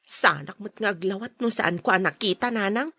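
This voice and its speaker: A woman's voice: mid-range voice, moderate pace, sharp tone, no echo. A woman acting a defiant, gossiping daughter in a radio drama, argumentative and whining. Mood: indignant. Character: defiant, gossiping daughter who insists she saw it.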